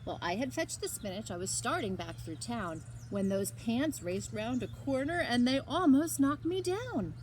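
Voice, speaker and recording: High-pitched, animated woman reading a storybook. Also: slight background noise, rough recording. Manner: animated, dramatic emphasis with drawn-out words. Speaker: librarian reading aloud to children. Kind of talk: expressive storybook read-aloud.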